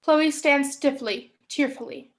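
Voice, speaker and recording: High-voiced young woman narrating. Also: takes it slowly. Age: young adult. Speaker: stage directions reader. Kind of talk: scripted narration.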